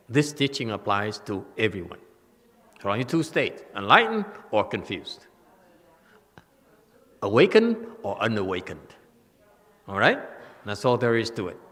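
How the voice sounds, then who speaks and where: Slow-speaking man teaching in an echoing hall. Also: mid-range voice, fairly bright tone. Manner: halting, repetitive, checks listeners follow. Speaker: Buddhist teacher, dharma host. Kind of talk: sermon, explanatory scripture lecture. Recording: long echoing hall reverb.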